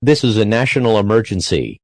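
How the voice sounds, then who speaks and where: A man's synthetic-sounding voice reading a formal emergency bulletin as an announcer, flat and impersonal. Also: on the low side, a little fast, no echo.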